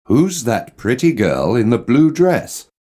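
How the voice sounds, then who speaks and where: A man reading lines of English course dialogues with expressive, questioning intonation. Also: medium pitch, takes it slowly. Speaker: dialogue reader in a language course. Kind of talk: slow, clearly read textbook dialogue.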